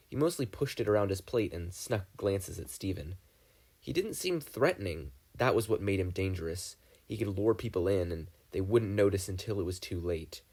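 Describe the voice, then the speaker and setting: A man giving an expressive, dramatic reading of a fan fiction story as an audiobook. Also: low voice. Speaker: podfic reader.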